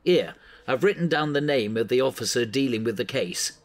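An actor playing an exasperated, bossy newspaper editor in an audio drama, blunt and scolding. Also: male voice, mid-range voice, lively intonation, a little fast, close to an everyday voice. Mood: stern.

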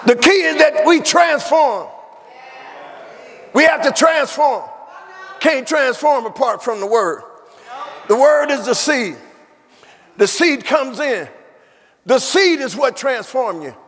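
A man's voice: extremely high pitch, animated, slow pace, pauses often, a bit bright. A man preaching a fervent sermon, repeating phrases and calling the congregation to answer. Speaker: pastor. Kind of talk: shouted sermon. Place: church sanctuary. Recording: echoey hall with congregation.

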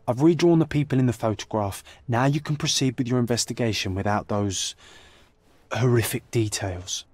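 A man's voice: medium pitch, normal speed, a little mellow, no room sound, natural voice. An actor playing a detective's young male companion in a video game, casual and bossy, flippant yet protective. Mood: protective, urging. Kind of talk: video game cutscene acting.

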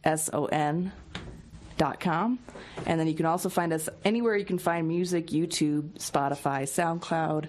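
A woman's voice: deep voice, normal speed, a little mellow. A woman musician talking casually and jokingly as a guest on a radio show. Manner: joking, playful. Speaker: musician guest, singer-songwriter.

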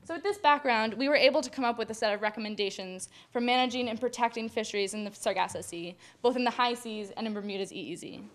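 A woman's voice: on the high side, quick pace, hardly any pauses. A young woman giving a student presentation from a podium in a lecture hall, reading prepared points with small stumbles and fillers. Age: young adult. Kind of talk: academic presentation.

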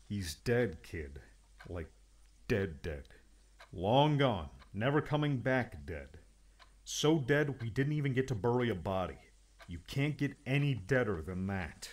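A man's voice: on the low side, big pitch swings, a little slow. A man acting a stern, exasperated friend in an audiobook, blunt, scolding and insistent.

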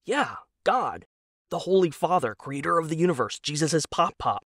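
Man playing eager, dim husband, pitched up. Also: animated, normal speed, a bit bright, no room sound, clean studio sound. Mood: eager, excited. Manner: rambling, pleading, wide-eyed enthusiasm. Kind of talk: audio drama character dialogue.

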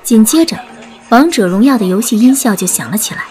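A woman narrates an audiobook in a fairly high voice. Her intonation swings widely and expressively. Soft music plays under her reading. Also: expressive, fairly quick. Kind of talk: scripted story narration, audiobook. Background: background music.

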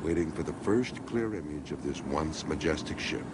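A man's voice: very deep voice, animated, moderate pace, very noisy. A man reading scripted narration for a documentary.

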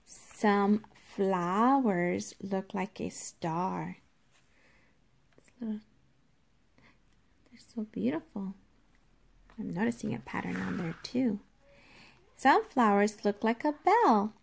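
A woman reads aloud as a teacher to young children. Her pace is slow and deliberate, with a noticeable creak in a mid-pitched voice. She pauses to explain things in an instructive way, speaking directly to the children. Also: expressive.

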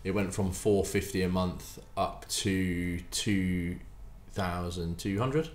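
A man in his forties, a baker and bakery owner, talking in a casual podcast interview, animated and emphatic, often repeating words as he restarts. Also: low voice, takes it slowly. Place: bakery room with hard walls. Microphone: desk mic on stand. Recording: roomy echo, faint room noise.

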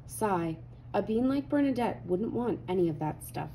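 A woman reading a children's picture book aloud with animated, dramatic emphasis. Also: medium pitch, normal speed, a little hiss or hum, low-quality recording. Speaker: read-aloud host.

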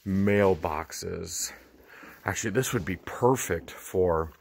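Middle-aged guy, slightly low voice, eager running commentary, handheld camera in a big indoor warehouse. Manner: enthusiastic, exclaims often, thinks aloud. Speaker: vlogger picking for resale. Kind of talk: excited running commentary while exploring. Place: large cluttered indoor warehouse.

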